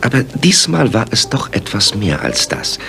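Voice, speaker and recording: An actor playing an earnest, polite gentleman sidekick who also narrates in an audio drama. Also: medium pitch, sing-song, normal speed, vocal fry, slight background noise, full character voice. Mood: suspicious narration.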